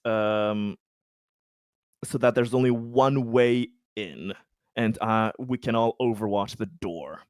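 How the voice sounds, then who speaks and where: A man's voice: on the low side, no echo, studio quality. A man chatting casually as a tabletop game player, talking tactics in informal, sweary language. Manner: informal, profane.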